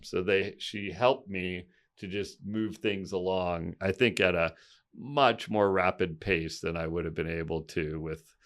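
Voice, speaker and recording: A man in his fifties with a deep voice, a podcast guest speaking candidly and reflectively, often pausing to restart his sentences. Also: fairly bright tone. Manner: reflective, open, with halting restarts. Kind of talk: candid conversational storytelling.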